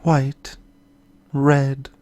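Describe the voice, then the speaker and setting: A man voicing a soft-spoken, sorrowful young woman who is a spirit medium in a video game, speaking haltingly and earnestly. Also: medium pitch, completely flat delivery. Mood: subdued. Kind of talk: voiced video game dialogue. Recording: music behind the voice.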